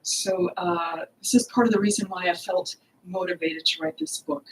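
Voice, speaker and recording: An older woman, the author, giving an earnest talk about her book at a podium in a library hall, pausing often with fillers. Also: on the low side, a little hiss or hum. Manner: earnest and expressive, with frequent pauses and fillers. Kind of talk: lecture talk at a book launch. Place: library hall with bookshelves, indoors. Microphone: podium microphone.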